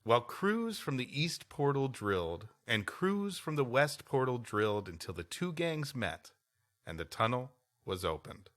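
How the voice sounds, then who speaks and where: A man with a bright, punchy voice and a very animated pitch co-hosts a comedy podcast. He moves between mock-solemn reading of history and off-the-cuff joking banter. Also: a little slow. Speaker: podcast co-host. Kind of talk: reading a history text aloud with improvised comic banter.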